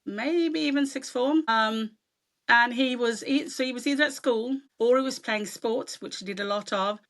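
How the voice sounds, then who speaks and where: Bright, gravelly middle-aged woman from Sweden. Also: a little high, normal speed. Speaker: author guest. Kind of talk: casual interview chat.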